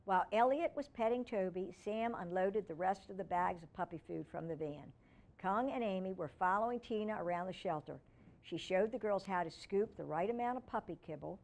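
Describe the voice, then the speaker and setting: A woman's voice: mid-range voice, brisk, a little mellow. A woman reading aloud as a children's storyteller, with informal asides along the way.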